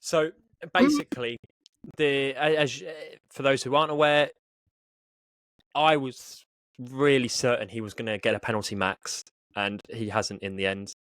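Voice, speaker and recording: A man's voice: medium pitch, normal speed, a bit bright, dry recording. Man chatting animatedly, dry close sound. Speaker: motorsport podcast co-host. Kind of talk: casual sports discussion.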